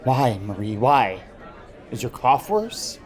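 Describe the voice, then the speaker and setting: A man playing a gentle, absent-minded scientist husband in an old time radio drama, musing and hesitant. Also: medium pitch, big pitch swings, takes it slowly, a faint crowd. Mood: concerned, resigned.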